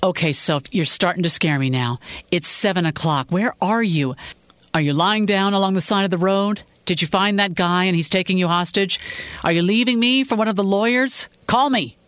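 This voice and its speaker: Narrator doing a worried, blunt husband. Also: male voice, on the high side, normal speed, a bit bright, dry recording, close to an everyday voice. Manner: anxious, then stern and blunt. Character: worried, frustrated adult husband.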